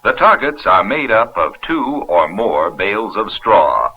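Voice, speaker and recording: A man's voice: lowish voice, big pitch swings, a little slow. An American man reading scripted narration for a 1970s instructional film in a folksy, upbeat, explanatory manner. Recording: dull, noisy vintage 16mm film soundtrack.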